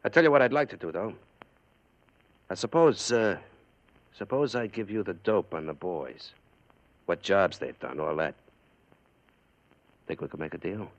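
An actor playing a domineering, edgy gangster boss with an American accent and slangy, blunt talk, in 1950s radio crime drama style. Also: male voice, sits low, animated, measured pace, long pauses. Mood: shifty, wary. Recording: muffled old radio drama recording.

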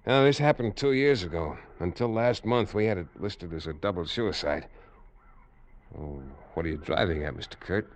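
An actor playing a tough, assured American manhunter, terse and understated, in radio drama narration and dialogue. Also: male voice, low voice, normal speed, lightly acted. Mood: businesslike.

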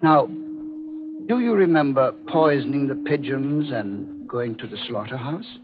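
An actor playing a grave, elderly, grandfatherly doctor in a 1940s radio drama, speaking in a measured, deliberate, ominous way. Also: mid-range voice, lively intonation, close to an everyday voice. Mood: hesitant, grave. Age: older. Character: grave elderly doctor, grandfatherly, revealing dark family secrets. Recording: muffled, noisy vintage radio broadcast.

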